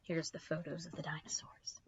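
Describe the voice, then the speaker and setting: A woman reading a children's story aloud with expressive, emphatic delivery and short asides to the listeners. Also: low-pitched, moderate pace, whispered, lo-fi sound. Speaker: librarian reading stories to children.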